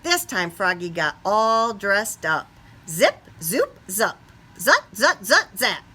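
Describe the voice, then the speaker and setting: A woman storyteller reads a picture book to young children with a lively, swooping pitch and a slow pace. She is expressive and turns to ask her listeners questions, with faint noise in the recording.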